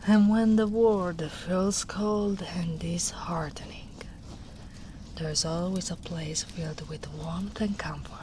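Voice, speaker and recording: A woman as a slow, gentle, soft-spoken big ogress. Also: lowish voice, steady tone, noisy background. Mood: tender. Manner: slow, soft, often whispering, tender. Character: gentle big female ogre, wistful and protective. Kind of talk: soft-spoken ASMR roleplay.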